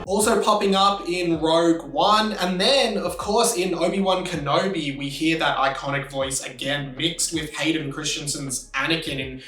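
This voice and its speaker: Higher-pitched man in a roomy space. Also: normal speed, hardly any pauses, a bit bright. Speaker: video host, commentator on pop culture. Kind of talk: casual video essay tribute spoken to viewers. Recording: roomy, slightly distant microphone.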